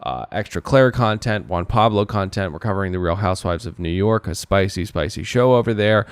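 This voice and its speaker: Low-pitched, clear-voiced male podcast host. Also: animated, brisk, no echo. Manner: joking, mock-formal announcements. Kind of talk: casual comedic podcast banter.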